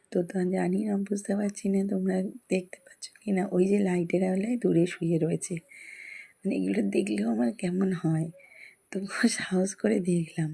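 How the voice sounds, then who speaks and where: A woman's voice: fairly low pitch, gravelly, dark tone, breathy edge. A woman with a West Bengal Bengali accent narrates a casual vlog in a chatty, rambling way, often repeating herself. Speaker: vlogger. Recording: noisy crowded ambience behind the voice.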